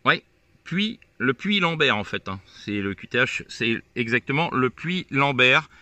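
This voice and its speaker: Bright-voiced man demonstrating radio gear. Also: medium pitch, animated, unhurried, no room sound. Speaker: amateur radio operator filming a video. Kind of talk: casual radio chat and hands-on demonstration. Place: outdoors on a hilltop field.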